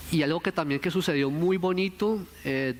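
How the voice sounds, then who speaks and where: A man with a Colombian accent speaking earnestly and deliberately at a conference, giving a talk and answering questions. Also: fairly high pitch, slight background noise.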